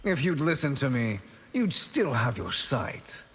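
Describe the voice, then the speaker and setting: A sinister, vain sorcerer from a fighting game, taunts with theatrical relish. His voice is husky and unhurried, ringing in a long reverb. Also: medium pitch, big pitch swings, a bit bright, exaggerated voice. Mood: smug taunting. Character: smug, sinister male sorcerer villain with cruel vanity. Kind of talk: video game villain banter.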